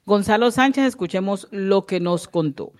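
News reporter on local radio doing time checks, steady voice. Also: mid-range voice, normal speed. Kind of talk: news broadcast.

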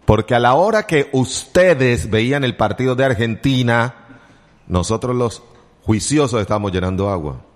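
A man's voice: mid-range voice, animated, moderate pace. A man with a Colombian accent hosting casual morning radio from a studio, chatty and joking with teasing asides. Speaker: radio host.

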